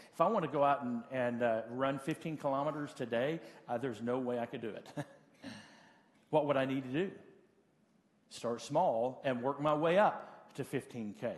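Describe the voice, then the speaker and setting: Pastor in his late forties, reverberant hall. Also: mid-range voice. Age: late 40s. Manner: halting, repeats phrases for emphasis. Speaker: pastor preaching. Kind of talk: conversational sermon with practical advice. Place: church. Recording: hall reverb of a large room.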